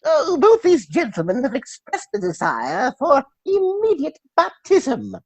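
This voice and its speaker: A genial, pious elderly clergyman in a comic stage play. The voice is high and very animated, yet unhurried. The manner is fussy and effusive, with deliberate phrasing. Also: no echo, full character voice. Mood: eager.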